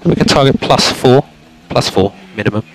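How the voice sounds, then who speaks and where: A man working as a race engineer, giving calm, clipped instructions to a driver over team radio. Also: medium pitch, moderate pace. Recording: noisy team radio, car engine sound.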